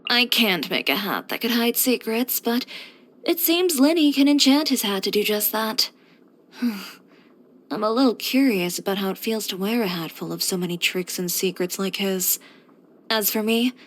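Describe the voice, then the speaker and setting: A woman's voice: mid-range voice, a bit bright. An actress playing a headstrong, composed young woman who runs a boutique, speaking frankly and with dry confidence, as video game character voice lines. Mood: dry, candid. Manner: matter-of-fact, frank, dryly confident delivery.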